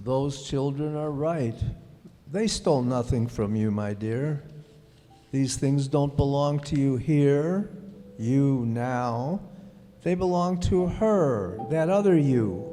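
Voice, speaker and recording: An actor playing a prim, reasonable husband remembered from the past in a radio play, soft and gently lecturing. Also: male voice, mid-range voice, takes it slowly, natural voice. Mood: gentle reproof. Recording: hall reverb, music bed.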